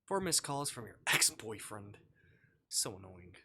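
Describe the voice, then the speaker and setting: A man livestreaming a visual novel, switching between jokey chat and reading the text aloud in a playful, expressive way. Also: fairly low pitch, unhurried, background noise. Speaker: live streamer playing a visual novel.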